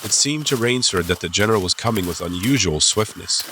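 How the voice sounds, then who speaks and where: Male narrator for an adventure audiobook: low voice, suspenseful delivery, clear ambient sound effects behind. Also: lively intonation. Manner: suspenseful, deliberate phrasing. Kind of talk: scripted dramatic audiobook narration. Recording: clear background ambience noise.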